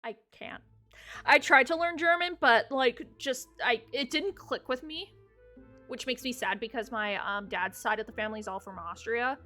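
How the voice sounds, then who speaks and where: A woman streamer chatting casually with a guest, giving quick friendly responses. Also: fairly high pitch, sharp tone, soft background music. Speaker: streamer, tarot reader. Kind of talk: casual livestream chat.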